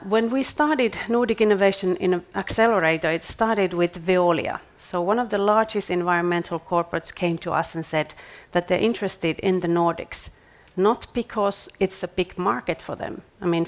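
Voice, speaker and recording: Woman pitching on a conference stage. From Finland. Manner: measured, repeats words at restarts. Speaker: startup accelerator CEO.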